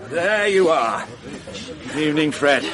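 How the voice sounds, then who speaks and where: An actor playing a dry, wry middle-aged police inspector in a radio drama, clipped and lightly sarcastic. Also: male voice, fairly high pitch, expressive, takes it slowly, noisy background.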